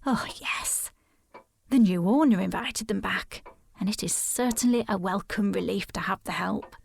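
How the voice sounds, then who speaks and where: An actress playing an older, genteel housekeeper in an audio drama, breathy and husky, with a polite, deferential, gossipy manner. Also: female voice, medium pitch, moderate pace, a little hiss or hum, lightly acted.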